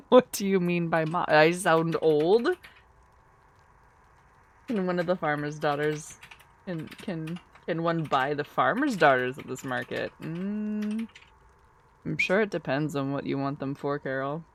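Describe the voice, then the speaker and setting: A woman's voice: sits low, animated, slow, pauses often, a little hiss or hum. A woman streamer just woken from a nap, chatting drowsily with her viewers in a sleepy, giggly, rambling way. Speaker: live streamer. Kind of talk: casual live-stream chat, answering chat.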